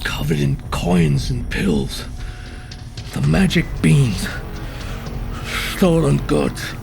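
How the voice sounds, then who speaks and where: Police investigator: very gravelly, terse and gruff, slow delivery under dramatic music. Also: male voice, on the high side, lively intonation, exaggerated voice. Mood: grim, shaken. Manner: terse, clipped orders. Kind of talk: audio drama acting.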